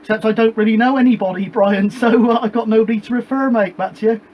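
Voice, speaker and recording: A man's voice: high voice, fairly even intonation, fast, dull audio. A British man chatting casually on a CB radio net from his home shed, rambling and handing each turn back to the others. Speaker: CB radio operator and show host. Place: home shed radio room with a gas fire.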